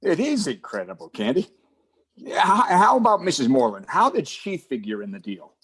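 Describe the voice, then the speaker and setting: A man's voice: fairly high pitch, big pitch swings, normal speed, fairly bright tone, breathy edge, full character voice. A man playing a friendly, slangy police detective with tough-guy banter in a 1940s radio drama style. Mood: amused, impressed. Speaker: community theatre voice actor.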